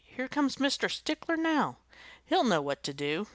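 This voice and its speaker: Brief: bouncy excited little boy, high and loud, voiced by a woman. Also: unhurried, no room sound, exaggerated voice. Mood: hopeful. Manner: bouncy and enthusiastic. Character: eager, excited young schoolboy.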